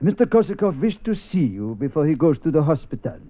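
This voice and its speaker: An actor playing a mimic posing as a formal, halting doctor in a 1950s radio drama. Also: male voice, on the high side, very animated, no room sound. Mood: grave, solemn. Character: fake German doctor, grave and formal, put on by a mimic. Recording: dull 1950s radio recording.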